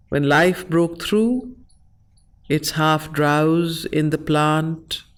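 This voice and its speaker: A woman with an Indian accent reciting verse and explaining it as a study circle facilitator, in a deliberate, emphatic, explanatory way. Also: low-pitched. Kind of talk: recitation of verse with teaching commentary.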